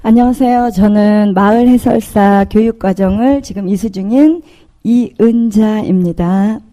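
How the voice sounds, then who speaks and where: A woman takes part in a radio interview as a guest. Her voice is mellow, at an average pitch for a woman. She is polite and fairly formal as she explains. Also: measured pace. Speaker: trainee village guide, interview guest.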